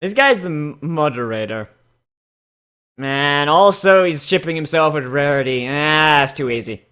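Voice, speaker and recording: Casting: male comedy host, slightly high forceful voice, casual sarcastic commentary. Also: a little slow, smooth. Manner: sarcastic, mocking. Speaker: host of a comedic reading show.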